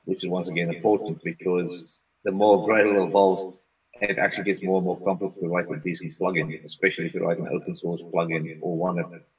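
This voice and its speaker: A man whose first language is Afrikaans, a software consultant talking as a guest on a remote interview in a relaxed, rambling way. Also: low voice, fairly quick, recorded from a distance, low-quality recording. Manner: relaxed, rambling with frequent restarts. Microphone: video call.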